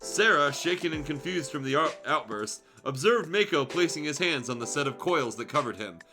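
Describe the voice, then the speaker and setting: Casting: sardonic male livestream reader, forceful bright delivery, a touch high, narration with wry asides. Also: lively intonation, moderate pace, faint music behind. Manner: wry, sarcastic, sweary. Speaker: streamer reading fan fiction aloud.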